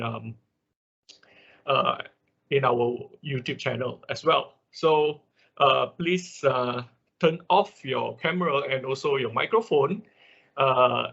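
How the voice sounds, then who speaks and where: A man giving an informal online class as an instructor, speaking haltingly with a husky voice and frequent repeats. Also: medium pitch, bright, forceful tone. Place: online video call. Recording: dull lo-fi online meeting audio.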